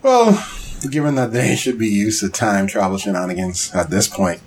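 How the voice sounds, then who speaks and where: Very animated man bantering on a podcast. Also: fairly low pitch, normal speed. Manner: animated, jokey, acts out mock quotes. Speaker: podcast co-host. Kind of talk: casual chat.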